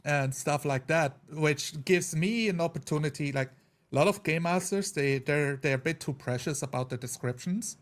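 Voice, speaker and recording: A man's voice: a little high, moderate pace. A man chatting casually as a tabletop roleplayer, often repeating and rephrasing his words for emphasis. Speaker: tabletop roleplayer on a gaming show. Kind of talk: casual chat.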